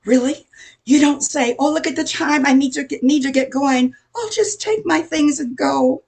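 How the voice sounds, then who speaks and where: An older woman playing a middle-aged busybody neighbor in an amateur radio play, chatty, insinuating and judgmental, with a husky, creaky voice. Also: sits high, normal speed, darkish tone. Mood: flustered.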